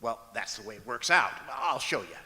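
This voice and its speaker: A man playing a wry, long-suffering husband, the hesitant deadpan straight man in an old-time radio comedy on stage. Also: medium pitch. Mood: explaining, deadpan. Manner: deadpan, halting with hesitant uhs. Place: performing arts center auditorium, live stage. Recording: echoey auditorium stage hall.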